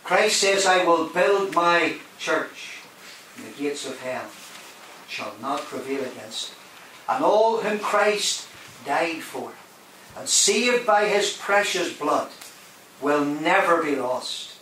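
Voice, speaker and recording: A preacher with a fairly high voice delivers an emphatic sermon, swinging between loud declarations and quiet asides. He is heard from a distance in a very reverberant hall with a faint hiss underneath. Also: animated, slow pace. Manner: emphatic, rising and dropping for effect, questions the congregation. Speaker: guest preacher. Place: large hall.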